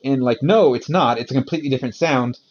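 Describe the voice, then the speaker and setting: A man who teaches English in Japan, chatting casually on a podcast and explaining with animated frustration. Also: mid-range voice, quick pace, highs cut off, a little hiss or hum.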